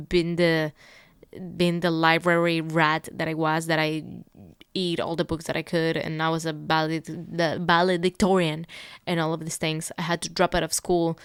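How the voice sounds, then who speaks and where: A woman's voice: lowish voice, clear, resonant tone, no room sound, studio quality. A woman, hosting a solo podcast and talking candidly and upbeat about her own experiences. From Ecuador. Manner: candid, upbeat and motivational, with light laughs. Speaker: podcast host. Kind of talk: reflective solo podcast monologue.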